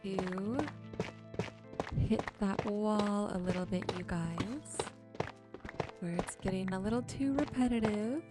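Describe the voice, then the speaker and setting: A woman giving ASMR-style gameplay commentary, musing to herself in an unhurried way. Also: medium pitch, over loud music. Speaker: game streamer hosting a relaxation video.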